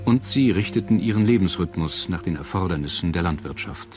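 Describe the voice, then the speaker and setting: A man reading scripted documentary narration in a measured, articulate storytelling manner. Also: low voice, animated. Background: background music.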